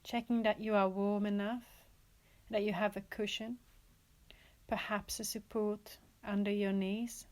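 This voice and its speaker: A woman teaching yoga nidra, guiding a relaxation in a gentle, soothing manner with pauses and repeated, inviting phrases. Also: mid-range voice. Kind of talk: guided relaxation meditation.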